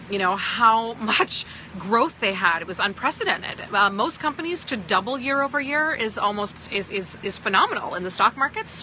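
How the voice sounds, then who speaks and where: A woman's voice: medium pitch, sharp tone, slight background noise, low-quality recording. Woman from pakistan w/ american accent, emphatic, keeps saying okay?, talking on a phone stream. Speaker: direct-sales team leader. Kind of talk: livestream talk answering viewers.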